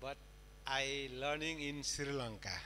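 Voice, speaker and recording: A man from Nepal, a non-native English speaker and Buddhist monk, giving a Dhamma talk in halting short phrases of simple English. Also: medium pitch, sharp tone. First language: not English. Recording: reverberant hall, electrical hum.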